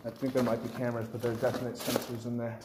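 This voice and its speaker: A young man vlogging as he explores a railway tunnel, excitable and sometimes dropping to a hush. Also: mid-range voice, racing. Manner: excitable, hushed when nervous. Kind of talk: casual vlog chat. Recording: echoey tunnel from far off, loud noise.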